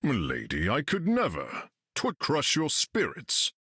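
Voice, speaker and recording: An actor playing a proud Norse thunder god in a video game, speaking in a grand, archaic, courtly manner. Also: male voice, mid-range voice, wildly swooping pitch, a little slow, bright, forceful tone, slightly rough, dry recording, studio quality, exaggerated voice. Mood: courtly, boastful. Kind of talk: video game character lines.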